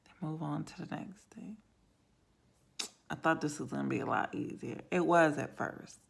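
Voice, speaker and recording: A woman vlogging in a casual ramble to camera, with a raspy, hoarse voice and frequent drops into whispers. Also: low-pitched, normal speed. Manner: rambling, self-talk with many trailing whispers. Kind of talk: casual vlog talk to camera.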